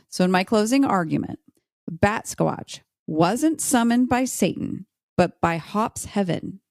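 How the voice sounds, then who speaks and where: A woman's voice: on the low side, vocal fry, darkish tone, dry recording. Woman podcast guest, dry close recording. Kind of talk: playful debate argument and casual chat.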